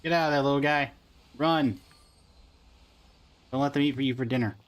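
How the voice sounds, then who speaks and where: A man's voice: medium pitch, normal speed, soft background music. A man doing casual livestream game commentary, talking in short remarks to himself and to the characters on his screen.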